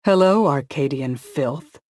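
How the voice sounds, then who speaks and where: A woman's voice: sits low, lively intonation, takes it slowly, darkish tone, dry recording, clean studio sound, full character voice. A man voicing a ruthless, scheming female villain in an audiobook, cold and boastful with a manic edge. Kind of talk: audiobook dialogue.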